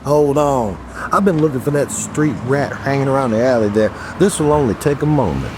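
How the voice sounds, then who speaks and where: An actor playing a weary, gruff police detective in a horror audio drama, with a wry, guarded, commanding manner. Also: male voice, mid-range voice, expressive, normal speed, very noisy, close to an everyday voice.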